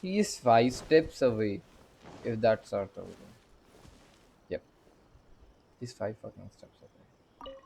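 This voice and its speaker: A man's voice: medium pitch, sing-song, takes it slowly, gravelly, long pauses, noisy background. A man giving casual playthrough commentary as a gaming streamer, muttering and sarcastic, with some swearing. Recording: game music behind his commentary.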